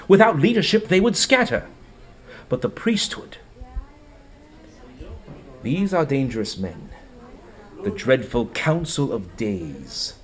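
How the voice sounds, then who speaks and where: High-voiced, zealous police recruiter. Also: male voice. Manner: emphatic, melodramatic, urgent. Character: zealous male undercover police recruiter fighting anarchists. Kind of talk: radio play acting.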